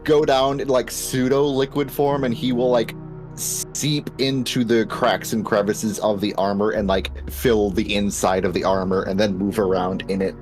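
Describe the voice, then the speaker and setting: A man's voice: on the low side, fairly even intonation, normal speed, darkish tone, faint music behind. A man at a tabletop game, casually narrating what his characters say and do in the third person.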